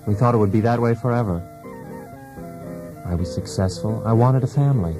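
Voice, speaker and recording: An actor playing a wounded, brooding husband in a poetic radio drama, speaking in a deliberate, intense, theatrical way. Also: male voice, lowish voice, natural voice. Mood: bewildered, anguished. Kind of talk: poetic monologue in a radio play. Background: music.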